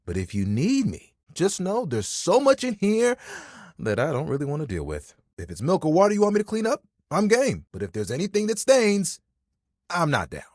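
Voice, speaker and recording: A man's voice: on the high side, wildly swooping pitch, normal speed, darkish tone, dry recording, clean studio sound. A man voicing a friendly, vain, dramatic living towel in a video game, chatty and playful with an easily wounded manner. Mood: fussy, defensive. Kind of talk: animated, conversational game character acting.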